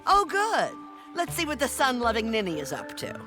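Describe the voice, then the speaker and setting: Animated, sarcastic bossy woman. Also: fairly high pitch, moderate pace, music in the background. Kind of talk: comedic video game voice acting.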